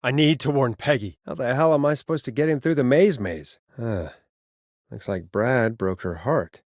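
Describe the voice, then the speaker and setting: Casting: male actor as late-night radio host in a game thriller. Earnest, dry wit, hesitant under pressure, mid-pitched, very dry studio sound. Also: animated, normal speed, darkish tone, no echo, close to an everyday voice. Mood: wry, casual. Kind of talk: video game voice acting, conversational.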